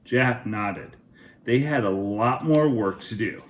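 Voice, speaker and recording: A man hosting a children's read-aloud, narrating a storybook in a deliberate, expressive way. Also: on the low side, roomy sound.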